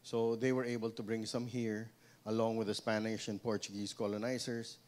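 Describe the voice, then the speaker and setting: A man in his thirties with a Filipino accent speaks into a handheld mic before a seated audience in a small seminar room. His voice sits a little low, and his talk is conversational, with restarts and a tag "no?" at the end of many points. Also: little pitch movement, moderate pace, darkish tone. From Philippines. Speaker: seminar speaker, pig breeder. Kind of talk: seminar talk mixing English and Tagalog. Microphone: handheld microphone.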